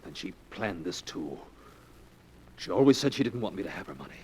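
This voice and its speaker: An actor playing a greedy, bitter, unhinged murderous grandson, melodramatic and vengeful in 1940s radio style. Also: male voice, low-pitched, very animated, brisk, husky, exaggerated voice. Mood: mounting frenzy. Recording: noisy old 1940s radio recording.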